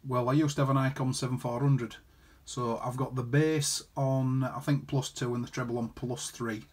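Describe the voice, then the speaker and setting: A man with a husky edge to his voice talks as an amateur radio operator. He keeps it casual, working callsigns and numbers into the chat at an ordinary mid pitch. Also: level tone, moderate pace. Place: indoor room beside a desktop transceiver.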